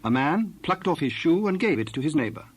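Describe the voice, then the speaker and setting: A man's voice: fairly high pitch, sing-song, fairly quick. A man narrating a dramatized Bible story in archaic English, reading from a script.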